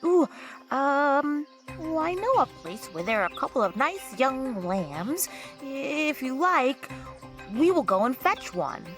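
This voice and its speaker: A woman's voice: high voice, sing-song, slow pace, fairly bright tone, soft background music, heavily acted. A woman voicing a sly, put-upon fox in a storybook tale, coaxing and a little hesitant. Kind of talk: storybook character acting.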